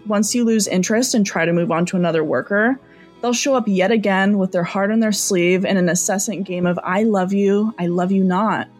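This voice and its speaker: A woman's voice: medium pitch, slightly rough. A woman playing a theatrical astrologer who answers a call-in hotline, talking in dramatic, flowery phrases. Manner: flowery, dramatic, pet names. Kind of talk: scripted comedy sketch.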